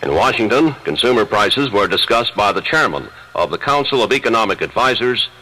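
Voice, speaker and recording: A man's voice: fairly low pitch, lively intonation, a little fast, bright, forceful tone, low-quality recording. An American man reading a scripted radio network news report. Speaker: radio newsreader. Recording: 1970s FM radio aircheck.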